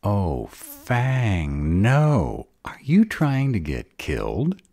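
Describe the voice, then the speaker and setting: A man's voice: fairly low pitch, huge pitch swings, extremely slow, vocal fry, darkish tone, no room sound, exaggerated voice. A man playing a sarcastic bad-boy vampire in an audio roleplay drama, drawling, guarded but devoted. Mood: hurt, guarded.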